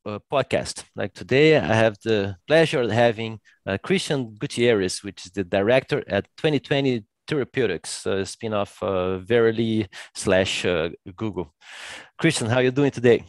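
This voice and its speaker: A man's voice: lowish voice, moderate pace, dry recording. A man hosting an eye care podcast who asks loose, casual questions packed with fillers. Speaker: podcast host, ophthalmologist.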